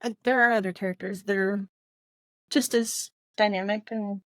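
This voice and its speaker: A woman's voice: mid-range voice, fairly even intonation, normal speed, dry recording, clean studio sound. A middle-aged woman co-hosting a book podcast, chatting casually and repeating short phrases for emphasis. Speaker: podcast co-host.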